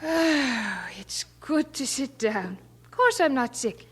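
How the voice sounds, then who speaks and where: An actress playing an earnest, restless young London maid in a radio drama. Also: female voice, sits high, lively intonation, slow pace, fairly bright tone, slightly rough, full character voice. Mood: casual, tired.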